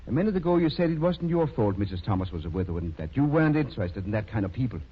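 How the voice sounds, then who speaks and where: Stern, husky old-time radio doctor. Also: male voice, on the low side, big pitch swings, racing. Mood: scornful, accusing. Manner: severe, reproachful, commanding. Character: stern, morally scolding male country doctor. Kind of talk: 1930s radio drama acting. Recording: muffled, lo-fi 1930s radio recording.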